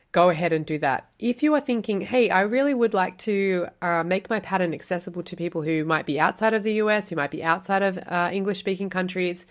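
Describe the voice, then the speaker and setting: Chatty Australian woman with a lowish voice. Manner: chatty, encouraging, rhetorical questions. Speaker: podcast host and quilt pattern designer. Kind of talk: solo podcast teaching.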